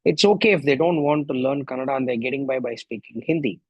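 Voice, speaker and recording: A man's voice: mid-range voice, fast, breathy edge, no echo, muffled recording. A middle-aged man from India with an Indian accent and Tamil as his first language, giving a reflective solo podcast monologue full of rhetorical questions. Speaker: podcast host.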